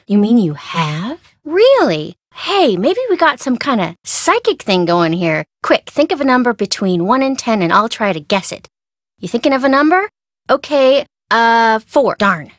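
A woman playing a perky, chatty and nervous young American sidekick in a video game, expressive and wry in a casual conversational way. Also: fairly high pitch, no echo, clean studio sound. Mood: curious, friendly, then playful, casual.

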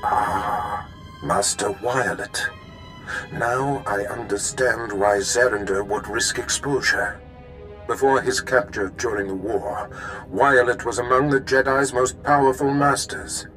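An actor playing a cold, menacing Sith master in a video game, speaking formally and imperiously. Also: male voice, medium pitch, steady tone, slow, a bit bright, faint music behind, low-quality recording. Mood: grave, musing.

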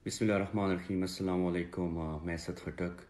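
A man in his thirties with a Pakistani accent, giving an earnest, halting personal statement to camera and repeating phrases for emphasis. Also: low-pitched, level tone, a little mellow. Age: 30s. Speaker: father making a personal video appeal. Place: small indoor room, seated before a plain wall.

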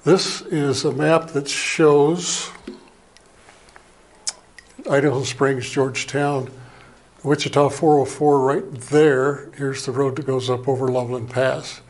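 An older man, an Air Force veteran, giving a plain, halting slide presentation from a lectern in a small museum meeting room, heard from a camera across the room. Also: mid-range voice, steady tone. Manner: plain, unhurried, halting with fillers. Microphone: far camera, speaking at a lectern.

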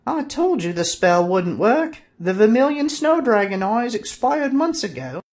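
Man doing a shrill scolding little sorceress. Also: sing-song, a little slow, full character voice. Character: bossy short female sorceress, scornful nag.

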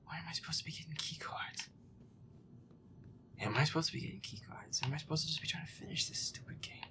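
A man playing a feisty, profane young werewolf boyfriend muttering jumpily through a scary video game in a breathy, hushed voice. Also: on the high side, fast talker, whispered, close to an everyday voice. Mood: irritated muttering. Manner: profane, irritable, jumpy muttering. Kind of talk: improvised-sounding gaming commentary, audio roleplay.

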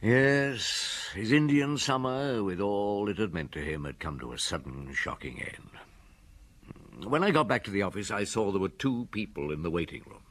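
A man playing a measured, probing but sympathetic police detective in a radio drama, deliberate and understated. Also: fairly low pitch, expressive, a bit bright.

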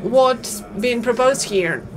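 A woman interpreting a startup pitch in real time, talking fast and steadily with occasional fillers. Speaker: simultaneous interpreter. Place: conference auditorium. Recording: auditorium feed with crowd and noise.

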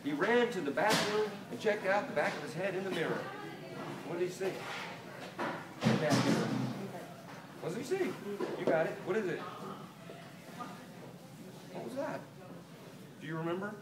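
A middle-aged American man from Delaware reads a picture book aloud to children, expressively, with deliberate pauses and questions to his listeners. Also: sits high, lively intonation. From Delaware, United States. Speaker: children's author. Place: small toy shop, indoors. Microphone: camera a few meters away. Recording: roomy, distant camera pickup, loud room noise.

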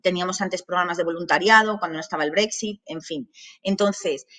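A woman's voice: on the low side, brisk, sharp tone. A woman in her thirties from Madrid with a Madrid Spanish accent, giving an online masterclass in a chatty way full of asides and fillers, recorded at her office desk. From Madrid, Spain. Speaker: language academy director. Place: small office with banners.

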